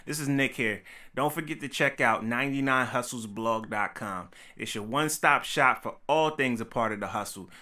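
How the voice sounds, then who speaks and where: A man hosting a podcast, delivering the show intro loudly in a hype announcer style. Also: medium pitch, normal speed. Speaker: podcast host.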